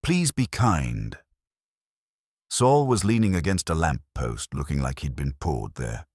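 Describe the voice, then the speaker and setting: A man with a gravelly, husky voice, narrating a scripted detective story in a wry noir manner. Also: very deep voice, sing-song, moderate pace, darkish tone, dry recording, clean studio sound. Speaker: audiobook narrator.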